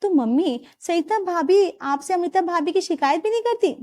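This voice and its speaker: A caring young daughter, put on by a woman narrator, anxious and eager to help. She asks questions with rising concern. Her voice is bright and high. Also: lively intonation, moderate pace.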